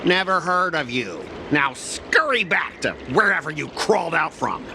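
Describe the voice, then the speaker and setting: A man's voice: on the high side, lively intonation, normal speed, creaky, background noise. An actor voicing a smug, mocking young mech salesman in a video game, with a sneering, theatrical manner. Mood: scornful. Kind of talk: video game cutscene acting.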